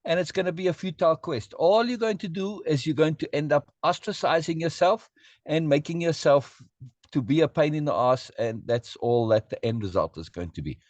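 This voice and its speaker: A man giving blunt, emphatic advice to a friend in casual conversation, slowing down to press his points. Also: a little high, no room sound, highs cut off. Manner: emphatic, lecturing advice, slow for stress.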